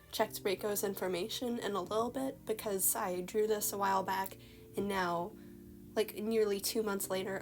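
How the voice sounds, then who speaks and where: A young woman giving casual, rambling voice-over commentary on a costume redesign, her voice slightly hoarse from a recent illness. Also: on the high side, a little mellow. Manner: chatty, rambling, many "like" fillers. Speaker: artist commenting on a character redesign. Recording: voice-over with faint background music.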